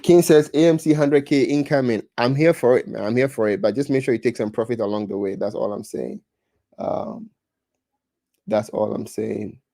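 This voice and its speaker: A man's voice: mid-range voice, moderate pace, vocal fry, mellow, no echo, clean studio sound. Man with a Ghanaian accent on a livestream. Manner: restarts and repeats words, reads out viewer comments. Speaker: livestream host. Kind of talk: casual livestream chat.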